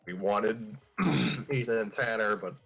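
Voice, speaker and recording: A man's voice: on the low side, fairly even intonation, measured pace, a bit bright, soft background music. A man hosting a casual group podcast, chatting and reading fan fiction aloud.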